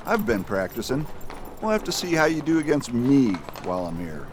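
A man's voice: mid-range voice, very animated, moderate pace, noisy background, close to an everyday voice. A man playing a friendly US marshal. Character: steady adult male US marshal, friendly. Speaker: US marshal Richard Wade. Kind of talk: radio drama acting.

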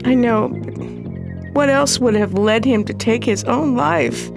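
An actress playing a grieving widow, speaking in halting, anguished lines in a radio drama. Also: female voice, fairly high pitch, gravelly. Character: grieving widow, shaken and hurt. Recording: radio play with music behind.

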